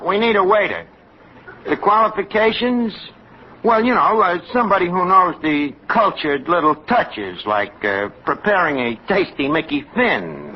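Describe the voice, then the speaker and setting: An actor playing a wisecracking, uneducated tavern manager with a New York accent and a mock-genteel, sarcastic manner in 1940s radio comedy. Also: male voice, sits high. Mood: businesslike, sly. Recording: muffled, hissy old-time radio recording.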